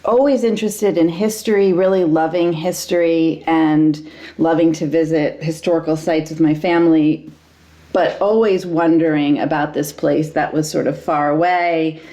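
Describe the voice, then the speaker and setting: A woman historian in her fifties, answering interview questions in a measured, thoughtful way with frequent ums. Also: sits low, steady tone, dark tone. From United States. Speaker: historian guest.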